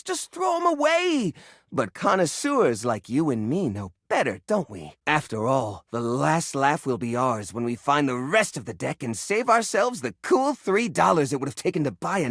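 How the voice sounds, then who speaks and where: Excitable game character, quirky junk hoarder showing off his stuff, voice swoops all over, a bit husky, super dry recording. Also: on the high side, dry recording, heavily acted. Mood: showy, proud. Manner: theatrical, excitable, rambling like a proud tour guide. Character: eccentric, cheerful male hoarder personifying a junk drawer. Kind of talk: animated video game voice acting.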